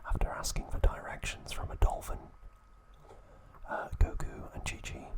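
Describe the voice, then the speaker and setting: A man narrating scripted trivia as an ASMR host in a soft, breathy, unhurried whisper.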